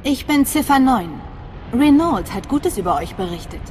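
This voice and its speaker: A woman playing a composed, determined secret agent in a video game, measured and terse. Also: high voice, lively intonation, a little mellow. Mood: assured, determined. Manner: measured, terse, self-assured. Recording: long reverb, faint music behind.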